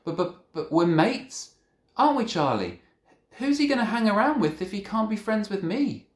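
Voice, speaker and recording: Man voicing an indignant schoolboy, pitched way up. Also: very animated, normal speed. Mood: desperate pleading. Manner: loud, stammering protests. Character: indignant, pleading schoolboy who protests loudly. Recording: echoey room.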